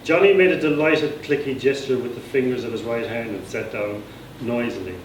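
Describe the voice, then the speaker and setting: A man's voice: medium pitch, brisk. Irish man, literary reading, informal asides, roomy echo, distant microphone. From Ireland. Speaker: author reading own book. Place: live reading at a society gathering. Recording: echoey room, distant mic, background noise.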